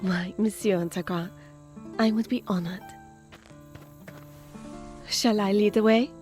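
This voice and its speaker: A woman's voice: medium pitch, fairly even intonation, slow, darkish tone, faint music behind, close to an everyday voice. A woman playing a polite, sweet young bayou lady in an audio drama, genteel and using French courtesies. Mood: grateful, demure.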